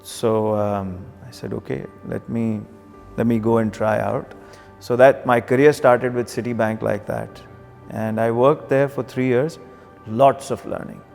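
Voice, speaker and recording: A man's voice: mid-range voice, steady tone, unhurried, vocal fry, darkish tone. Middle-aged Pakistani man in a reverberant space. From Karachi, Pakistan. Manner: conversational, occasional Urdu phrases. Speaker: banker, interview guest. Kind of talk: reflective interview storytelling. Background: background music.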